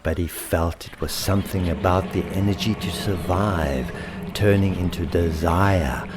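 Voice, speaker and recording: A man narrating a rhyming children's story with theatrical, deliberate emphasis. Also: sits low, heavy background noise. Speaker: author and storyteller.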